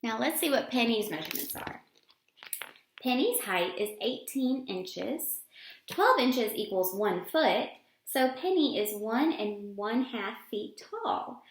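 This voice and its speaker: A woman reading a picture book aloud to children with deliberate, emphatic phrasing. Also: fairly high pitch, lively intonation. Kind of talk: expressive read-aloud for children. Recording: roomy, echoing sound.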